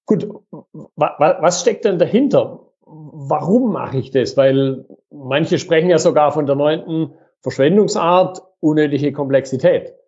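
A man hosting a business podcast, reading a scripted intro and then asking measured questions with some repetitions. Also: on the high side, darkish tone, breathy edge, muffled recording. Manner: measured, asks questions with many hesitations and repetitions. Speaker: podcast host.

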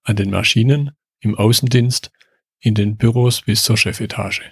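Podcast host, man, gravelly, formal polished delivery, dry close mic. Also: fairly low pitch, steady tone, moderate pace, no echo. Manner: polished, formal, friendly interviewer. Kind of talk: scripted intro and interview questions.

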